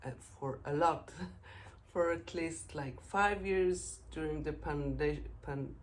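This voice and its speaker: A middle-aged woman from Israel, a native Hebrew speaker with an Israeli accent, hosting a casual knitting podcast in halting English full of fillers as she searches for words. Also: deep voice.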